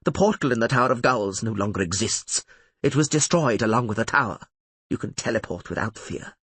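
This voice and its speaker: A man's voice: lowish voice, expressive, fairly quick, fairly bright tone, slightly rough, dry recording. A man reading an audiobook voices an imperious older sorceress who speaks in a formal, commanding way. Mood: stern weary. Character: stern, imperious older female archmistress sorceress.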